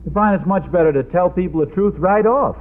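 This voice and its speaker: A man playing an affable, mildly pompous middle-aged suburban father in a vintage radio sitcom, speaking in a mild, slightly halting way. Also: high voice, lightly acted. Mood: mild, moralizing. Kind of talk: 1940s-50s radio sitcom acting. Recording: noisy old radio broadcast, narrow band.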